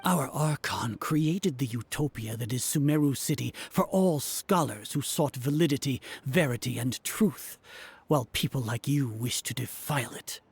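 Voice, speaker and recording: Pompous, scornful grand scholar. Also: male voice, mid-range voice, moderate pace, gravelly, breathy edge. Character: haughty authoritarian male grand scholar, contemptuous of art. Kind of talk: video game voice acting.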